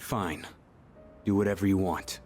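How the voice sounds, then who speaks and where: A man's voice: low-pitched, fairly even intonation, measured pace, a little mellow, faint music behind. A man playing a surly, aloof young tough with a curt, dismissive manner in an anime game. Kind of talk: anime game dub acting.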